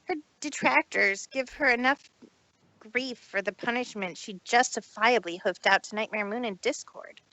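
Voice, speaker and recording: A woman voicing a proud, self-important female pony in a fan fiction read-aloud, speaking deliberately and indignantly. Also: fairly high pitch, bright, forceful tone, no echo, low-quality recording. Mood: resolute.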